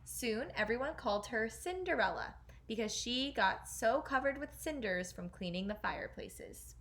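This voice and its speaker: A woman teacher reading a children's fairy tale aloud in an expressive storytelling style. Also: a little high, normal speed.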